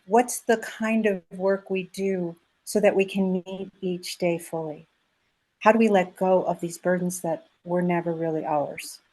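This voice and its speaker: A woman's voice: mid-range voice, darkish tone. A woman from South America asking an elder an earnest question on a video call, restarting her phrases as she searches for words.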